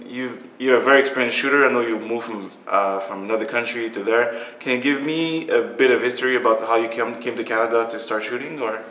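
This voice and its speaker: A man's voice: mid-range voice, brisk, few pauses, bright, forceful tone. A young man hosting a podcast interview, upbeat and chatty, with lots of fillers. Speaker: podcast host. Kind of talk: casual interview. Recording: echoey room.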